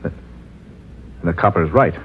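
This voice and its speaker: A gruff, jumpy husband in old-time radio style. His voice sits very low, and his lines are short and urgent. The recording is dull with a little hiss. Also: lively intonation, measured pace. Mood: alarmed, accusing. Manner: terse, wary, urgent. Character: gruff, uneasy husband, easily alarmed and accusing. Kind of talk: 1950s radio drama acting. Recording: muffled, noisy vintage radio recording.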